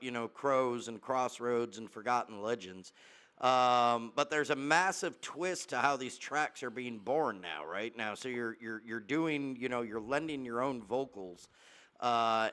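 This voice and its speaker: A man's voice: mid-range voice, pushed and bright, smooth. A man hosting a casual podcast interview, hyping up his guest and filling his talk with "uh" and "man". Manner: hype introductions with many fillers and "man". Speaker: podcast host.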